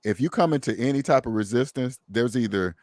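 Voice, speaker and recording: A man's voice: mid-range voice, fairly quick, darkish tone, no echo. A man hosting a casual conversation, speaking emphatically and preachily, repeating phrases for stress. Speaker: podcast host.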